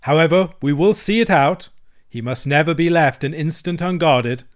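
A man's voice: a little high, normal speed, voice pushed up, close to an everyday voice. A man voicing a stern male badger from a storybook, solemn and commanding, given to speechifying. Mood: resolute. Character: stern, oratorical male badger, gang leader. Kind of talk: storybook character voice.

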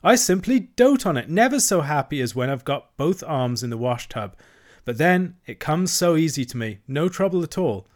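A man's voice: a little high, big pitch swings, moderate pace, pitched above the performer's own voice. A man doing a bragging toad in disguise. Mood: boastful. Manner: airy, bragging, then nervous. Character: boastful male toad disguised as a washerwoman.

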